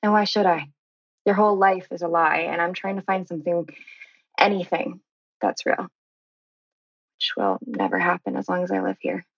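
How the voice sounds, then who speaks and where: A woman playing a fed-up, sardonic teenage girl in a drama table read, with a husky, creaky voice. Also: lowish voice, expressive, normal speed, long pauses, no room sound, dull audio. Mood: bitter, fed up.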